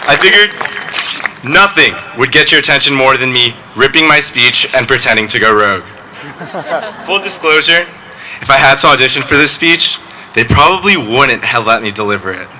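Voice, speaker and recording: A teenage boy, valedictorian, giving a graduation speech with joking, deadpan asides. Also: mid-range voice, moderate pace, a little hiss or hum, poor audio. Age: teens. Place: outdoor graduation ceremony.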